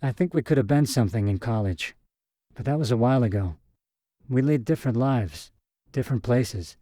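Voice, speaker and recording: A man's voice: medium pitch, lively intonation, brisk, no echo, pitched above the performer's own voice, close to an everyday voice. Male narrator's candid young woman, a touch reproachful, dry recording. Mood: frank. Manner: frank, gently reproachful. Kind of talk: audiobook character reading.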